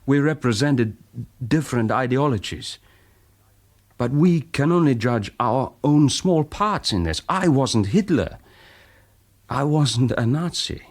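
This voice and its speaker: An actor playing a reflective, persuasive half-German former wartime spy in a radio drama, speaking deliberately and haltingly. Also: male voice, mid-range voice, lively intonation. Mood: earnest, pleading. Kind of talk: naturalistic radio drama acting.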